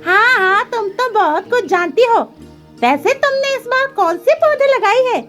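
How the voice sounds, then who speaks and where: Cartoon crow farmer, male, played by a woman: very high shrill pitch, big swoops, whiny, cocky, grumbling. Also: expressive, normal speed, fairly bright tone, soft background music. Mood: mocking. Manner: whining, mocking, exclamatory.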